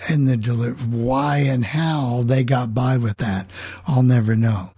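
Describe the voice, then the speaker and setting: A middle-aged man hosts a relaxed group chat in a husky voice. His replies are short and plain-spoken, and the sound is dry and close. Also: medium pitch, measured pace, dry recording. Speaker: host of a computer club call. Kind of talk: casual chat.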